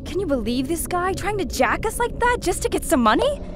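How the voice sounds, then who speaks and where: A bold space treasure hunter played by a woman talks in a high, swooping voice with blunt, feisty energy. Music plays clearly behind this sci-fi drama performance. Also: a little fast. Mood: indignant. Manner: blunt, animated. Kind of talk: sci-fi audio drama acting.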